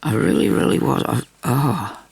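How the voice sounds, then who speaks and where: An older woman with a raspy, hoarse voice from a bad cough, chatting casually through a sewing tutorial at her craft table. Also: rumbling low, lively intonation, normal speed, darkish tone, dry recording. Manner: chatty, rambling asides while stitching. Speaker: crafting channel host. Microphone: overhead camera on her hands.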